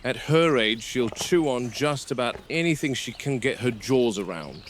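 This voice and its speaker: An actor playing a stern, blunt park game warden in a found-footage horror drama, speaking tersely and dryly. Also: male voice, medium pitch, animated, normal speed, close to an everyday voice. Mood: matter-of-fact, observing. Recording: noisy degraded VHS tape sound.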